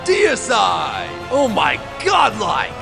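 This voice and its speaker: A man voicing a hyped esports game announcer with theatrical, drawn-out exclamations over music. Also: high voice, wildly swooping pitch, creaky, heavily acted. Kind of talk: video game announcer callouts.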